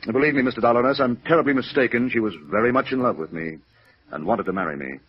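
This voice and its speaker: A man playing a proud, lovelorn older gentleman in a 1950s radio drama, formal and defensive, with bitterness underneath. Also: medium pitch, fast, husky, close to an everyday voice. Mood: defensive, pained. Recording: muffled vintage radio recording.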